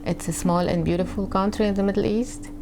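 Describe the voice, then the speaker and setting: A woman's voice: medium pitch, steady tone, brisk, background noise. A woman from Lebanon with a Lebanese accent, a potter telling her personal story in an interview. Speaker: artist, potter. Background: faint music.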